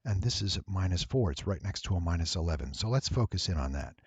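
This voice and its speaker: Low, husky man explaining, lively pitch. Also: quick pace, no room sound. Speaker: voice actor giving an audio editing tutorial. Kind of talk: explanatory screen-recording narration.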